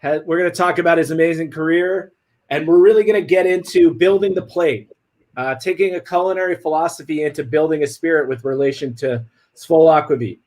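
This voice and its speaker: An upbeat man hosts a livestream over a video call, his voice a touch high for a man. He rattles through announcements quickly in a loose, slangy way. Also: a little mellow. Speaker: host. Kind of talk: casual livestream hosting.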